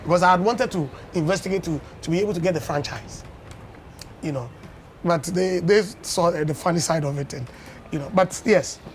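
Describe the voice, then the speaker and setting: A Ghanaian man in his fifties, a former dance champion, tells unhurried stories as a guest on a breakfast TV interview, often adding "you know.". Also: a little high, slight background noise. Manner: anecdotal storyteller, unhurried with many "you know"s. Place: television studio.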